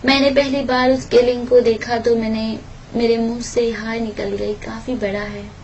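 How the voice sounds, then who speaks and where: A woman's voice: on the high side, flat delivery, normal speed, a little mellow. A woman reading a first-person erotic audio story aloud, occasionally stumbling and repeating words, on a noisy home-style recording.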